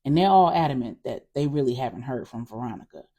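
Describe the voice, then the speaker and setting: A woman voicing a male private investigator in an audio story, reporting in a brisk, informal way. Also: extremely low pitch, lively intonation, dark tone, breathy edge, voice pushed down, heavily acted. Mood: matter-of-fact.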